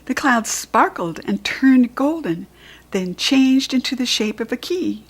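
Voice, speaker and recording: A woman with an American accent reading a children's book aloud in a clear, expressive voice. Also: a little high, unhurried.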